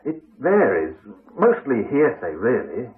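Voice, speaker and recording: An actor playing an awkward, evasive, polite house agent with an English accent, hesitant and apologetic, in a radio drama. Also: male voice, mid-range voice, wildly swooping pitch, a little slow, breathy edge, full character voice. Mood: evasive, uneasy. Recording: dull, band-limited 1980s radio recording.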